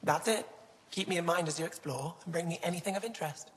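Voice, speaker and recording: A young female alien curator from a sci-fi game, played by an actress, with a notably low voice. She is earnest, scholarly and a little wistful, speaking in a measured way. Long reverb surrounds her, as in a big hall. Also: natural voice. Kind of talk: video game dialogue.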